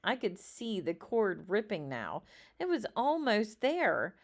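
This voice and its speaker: Woman, children's read-aloud, very lively intonation, unhurried pace, dramatic emphasis. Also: medium pitch. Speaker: storybook reader.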